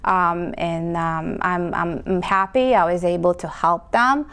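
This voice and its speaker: A woman from Moldova whose first language is Romanian, answering interview questions about her home care company, pausing often with ums and restarts. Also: fairly low pitch, fairly even intonation, a bit bright, clean tone. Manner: hesitant, many ums and restarts.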